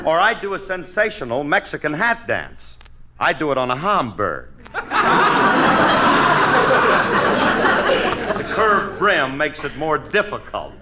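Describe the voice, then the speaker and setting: An actor playing an insecure, boastful bandleader husband in a 1950s radio comedy, with brash, folksy, ungrammatical speech. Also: male voice, a little high, wildly swooping pitch, moderate pace, muffled recording. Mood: wisecracking. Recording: noisy old radio comedy recording.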